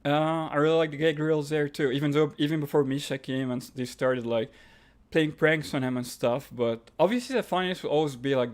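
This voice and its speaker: A man from Egypt with an Egyptian accent, hosting a live stream and reading out viewer questions, then answering them offhand. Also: mid-range voice. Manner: reads questions aloud then answers offhand, with fillers and restarts.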